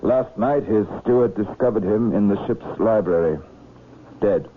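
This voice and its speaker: An actor playing a businesslike island magistrate in an old-time radio drama, terse, grave and matter-of-fact. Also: male voice, fairly low pitch, moderate pace, natural voice. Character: businesslike male island magistrate on urgent duty. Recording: muffled, hissy old radio broadcast.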